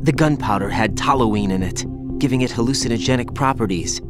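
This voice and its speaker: A brooding young soldier from a video game cutscene, as voiced by a man. He talks quietly and guardedly, in a mid-pitched voice. Game music runs clearly under the dialogue. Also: fairly quick, close to an everyday voice. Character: young male soldier haunted by a child-soldier past. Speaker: game character.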